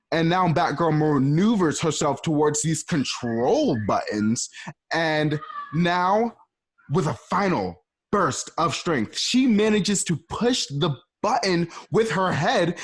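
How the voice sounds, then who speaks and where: A man narrates a radio play's action in a casual way, full of chatty asides. His voice is a bit high and husky, and it swings widely in pitch. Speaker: narrator and host. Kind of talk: casual stage-direction narration.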